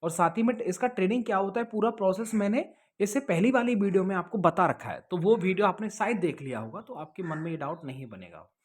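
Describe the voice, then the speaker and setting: A man's voice: high-pitched, animated, brisk, breathy edge. A man answering viewers' questions in a casual, advising way, often checking that the listener follows. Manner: conversational, advising, often checks with "okay?" and "understood?". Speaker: host answering viewers' questions about police jobs. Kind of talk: casual explanatory Q&A to camera.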